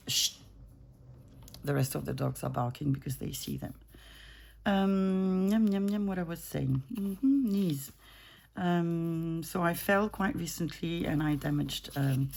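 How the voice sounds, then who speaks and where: Gravelly-voiced woman in her fifties. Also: deep voice, slight background noise. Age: 50s. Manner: rambling, self-interrupting, easygoing. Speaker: junk journaling crafter. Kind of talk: casual chat while crafting. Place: home craft desk.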